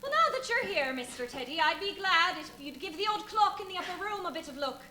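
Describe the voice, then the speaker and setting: Shrill, fussy innkeeper's wife. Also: female voice, very animated, brisk, bright, forceful tone, slight background noise, exaggerated voice. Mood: deferential, chatty. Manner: gossipy, exclamatory, deferential to guests, scolding the maid. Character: chatty, fussy, bossy English village innkeeper's wife. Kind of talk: live radio play acting.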